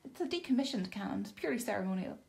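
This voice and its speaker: A woman's voice: medium pitch, sing-song, rapid-fire, vocal fry, noisy background. A woman, enthusiastically demonstrating wooden toys in a toy shop in casual chat. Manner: enthusiastic, upbeat, laughs often. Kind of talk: casual product demo chat.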